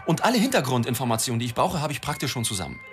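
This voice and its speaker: A man's voice: medium pitch, big pitch swings, racing. Wry male reporter, music behind. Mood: placating, apologetic. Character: flippant, evasive male newspaper reporter and family man. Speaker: actor, lead and narrator. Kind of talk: radio drama dialogue and first-person narration.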